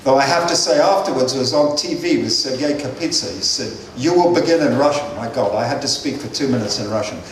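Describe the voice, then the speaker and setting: An older man, a guest speaker, gives measured, earnest answers to audience questions, with frequent fillers. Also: medium pitch. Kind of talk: lecture-hall Q&A answers. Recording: echoey hall, distant mic, faint noise.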